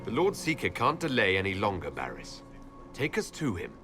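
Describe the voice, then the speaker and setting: A man's voice: fairly low pitch, wildly swooping pitch, normal speed, over music. An actor playing a composed, guarded, dryly defiant male elf leader in a video game. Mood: firm, guarded. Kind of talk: video game dialogue acting.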